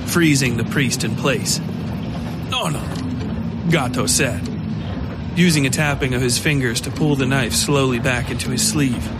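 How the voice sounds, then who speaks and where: Male horror narrator over heavy music. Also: mid-range voice. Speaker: narrator of a fiction podcast. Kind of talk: scripted horror story narration.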